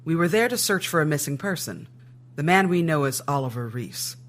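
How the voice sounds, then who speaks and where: A male government agent in an audio drama. His voice is a little high for a man, and he reports in a formal, measured, matter-of-fact way. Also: animated, fairly bright tone. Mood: businesslike.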